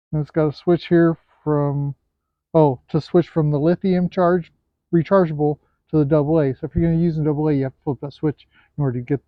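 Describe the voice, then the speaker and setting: A man with a fairly high voice talks through a product review off the cuff. His delivery is hesitant, with pauses to look things over. The sound is narrow and phone-like, with very little room in it. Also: dry recording. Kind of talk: casual unscripted product review. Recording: thin, phone-like, band-limited sound.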